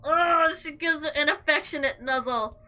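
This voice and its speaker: A woman hosting a visual novel playthrough, reading aloud and reacting with animated, slangy exclamations over background music. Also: sits high, normal speed, rough recording. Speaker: gaming video host.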